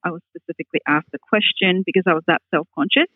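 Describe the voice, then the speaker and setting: A woman's voice: on the low side, fast talker, dry recording. Upbeat Australian woman on a tinny line. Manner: articulate, upbeat, laughs readily. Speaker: public speaking coach, guest. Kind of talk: casual interview answers. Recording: tinny phone line, dry.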